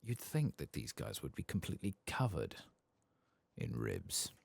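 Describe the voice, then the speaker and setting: A soft-spoken man with an extremely deep voice and heavy vocal fry hosts a gaming ASMR session. He talks in a casual, understated, musing way, with his pitch moving freely as he comments on play. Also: huge pitch swings, a little slow.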